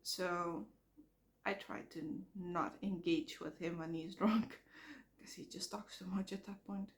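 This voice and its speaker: A woman vlogging outdoors by a road, talking frankly in a halting way while she comes down with a cold and her voice cracks. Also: on the low side, fairly even intonation. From Netherlands. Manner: frank, halting, repeats words. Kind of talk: casual rambling vlog. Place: outdoors near traffic.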